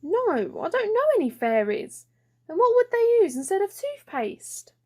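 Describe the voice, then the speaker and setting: A woman voicing a curious, excitable little girl, earnest and full of questions, in a children's storybook. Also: very high-pitched, measured pace, pitched above the performer's own voice, exaggerated voice. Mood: puzzled, curious.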